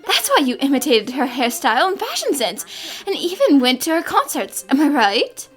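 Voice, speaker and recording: A woman plays a young female cartoon detective with a high, lively voice. She lays things out with total confidence and a theatrical flourish. Her pitch moves freely as she makes each point. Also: moderate pace, faint music behind. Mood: gently probing. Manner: assured, explanatory, dramatic. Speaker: voice actor. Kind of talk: fan-dubbed cartoon acting.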